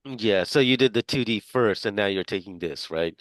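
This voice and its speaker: Middle-aged male teacher, low smooth voice, rambling and casual on a video call. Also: moderate pace, no room sound. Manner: rambling, many fillers and restarts. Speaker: instructor. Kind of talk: casual class introductions.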